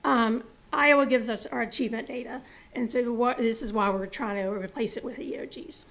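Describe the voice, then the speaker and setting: A woman presenting to a school board, explaining with fillers, her voice going hoarse. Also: on the high side, steady tone, fairly quick, fairly bright tone. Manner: informal, with fillers and explanatory asides. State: losing her voice.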